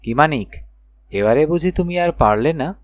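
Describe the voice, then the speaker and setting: A man's voice: on the high side, expressive, normal speed, dry recording, natural voice. Man playing a musing fairy-tale king. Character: puzzled old fairy-tale king addressing his sons. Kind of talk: storybook character voice.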